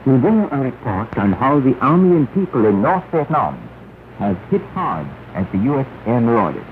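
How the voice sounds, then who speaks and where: Male announcer through very noisy narrow shortwave. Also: big pitch swings. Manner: formal, emphatic, deliberate phrasing. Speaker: radio news announcer. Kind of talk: 1960s propaganda radio news reading. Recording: noisy 1968 shortwave radio, narrow band, rumble.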